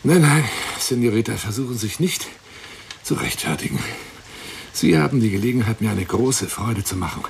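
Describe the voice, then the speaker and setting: A man's voice: on the low side, lively intonation, normal speed, creaky, background noise. An actor playing a lonely, boastful, needy middle-aged man in a theatrical radio play monologue, pompous and effusive. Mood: wounded, reproachful. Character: lonely fat armchair adventurer, boastful, needy, pleading. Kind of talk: radio play monologue, addressing a woman by phone.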